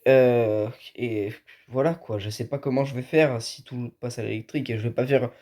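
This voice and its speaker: A man's voice: medium pitch, steady tone, normal speed, dark tone. A man giving his opinions in a casual, colloquial car vlog, sounding tired and a bit ill with flu. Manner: colloquial, addresses viewers as buddies. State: under the weather with a flu-like illness, tired. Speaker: car video host.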